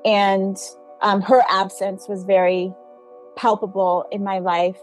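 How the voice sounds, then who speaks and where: Interview guest, female writer born in Tanzania, thoughtful, unhurried storytelling. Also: medium pitch, little pitch movement, soft background music, rough recording. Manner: thoughtful, uses fillers.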